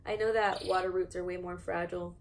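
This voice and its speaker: A woman's voice: fairly low pitch, flat delivery, brisk. A 21-year-old woman with an American accent, chatting casually and informally in a self-deprecating, rambling way as she vlogs from home. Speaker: houseplant vlogger. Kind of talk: casual rambling chat while repotting plants. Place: indoor room at home with plants. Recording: noticeable background noise, home video.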